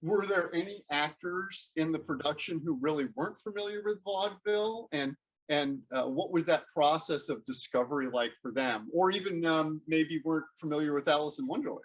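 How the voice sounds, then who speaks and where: Male host, fairly high-pitched, conversational interview questioning with a halting, searching delivery. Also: rough recording.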